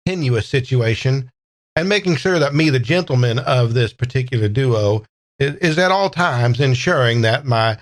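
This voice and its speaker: A man playing a courtly self-styled Southern gentleman in a tabletop game, with flowery, folksy phrasing. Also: mid-range voice, expressive, normal speed, lightly acted, poor audio. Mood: courtly, protective.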